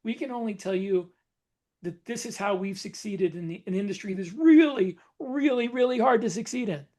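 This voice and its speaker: Relaxed, rambling middle-aged man. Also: high-pitched, normal speed. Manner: relaxed, rambling, self-correcting. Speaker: podcast co-host, author. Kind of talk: casual panel chat.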